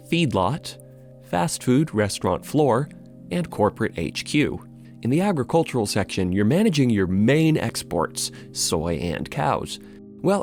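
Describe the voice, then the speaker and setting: A man delivers scripted video essay narration with a very animated, rising and falling pitch. His manner is wry and informal, with dry casual asides. Faint music plays behind his voice.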